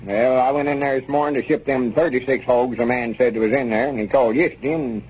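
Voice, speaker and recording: A man's voice: mid-range voice, steady tone, fast, a little mellow, dull audio, natural voice. An actor playing a quaint, self-important old country man with a rural Southern accent, folksy and prone to grumbling, in 1930s radio comedy style. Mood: worried, weary. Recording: noisy, band-limited 1930s radio broadcast.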